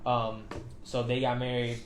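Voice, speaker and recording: A man's voice: medium pitch, monotone, measured pace. A man in his twenties from South Florida, a native Spanish speaker, chatting casually in slangy English as a guest artist. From Homestead, South Florida. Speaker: producer and music artist guest. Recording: echoey room, faint noise.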